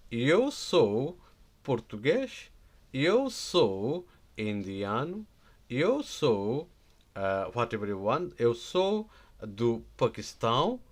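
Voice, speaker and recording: A man teaching a live online language lesson, repeating example phrases slowly and checking in with "right?" after each one. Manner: animated, stresses words with sudden loud high jumps.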